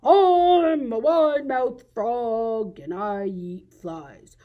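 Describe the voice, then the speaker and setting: A woman voicing a cheerful, boastful wide-mouthed frog for a children's puppet show, with drawn-out, emphatic, sing-song phrasing. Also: a little high, narrow, tinny audio.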